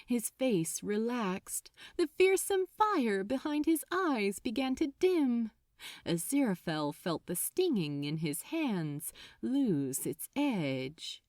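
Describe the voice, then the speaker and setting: A woman narrating a story from a script in a dramatic, expressive and deliberate way. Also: fairly high pitch, no echo, studio quality. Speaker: fan fiction narrator.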